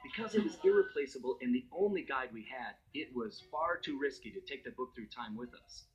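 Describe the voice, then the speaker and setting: An actor playing a suave raccoon master thief, narrating game cutscenes in a wry, smooth storytelling manner. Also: male voice, mid-range voice, expressive, a little fast, natural voice, poor audio. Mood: wry, amused. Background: background music.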